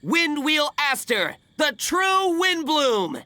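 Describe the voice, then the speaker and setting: A woman's voice: high-pitched, big pitch swings, slow, pushed and bright, exaggerated voice. A woman voicing an opinionated, pedantic fruit stall vendor in a video game, emphatic and pompous. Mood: proudly rallying. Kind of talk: video game character acting.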